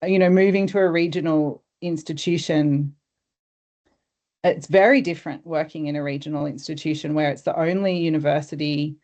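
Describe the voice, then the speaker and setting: A woman academic speaking as a guest on a video call webinar, thoughtful and hesitant, with many pauses and restarts. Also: low-pitched, steady tone, a little mellow. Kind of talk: webinar discussion. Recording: dull video call audio.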